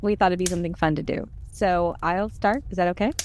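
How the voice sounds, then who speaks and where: Female voice actor, casual intro, lower pitch, playful rambling delivery, slight background noise. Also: quick pace, a little mellow. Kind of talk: casual self-introduction chat.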